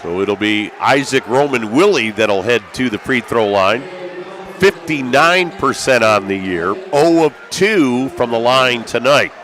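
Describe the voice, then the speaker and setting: An American man doing live basketball play-by-play as a broadcaster, excitable and raising his voice with the action. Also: medium pitch, unhurried. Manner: excitable, calls out action with raised voice. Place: courtside in high school gymnasium. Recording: live gym broadcast with background noise.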